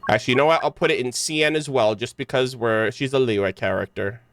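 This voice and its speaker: A man's voice: mid-range voice, fast talker, fairly bright tone, slight background noise. A man giving casual livestream commentary on a game in an enthusiastic, gushing way. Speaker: game streamer. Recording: game music behind.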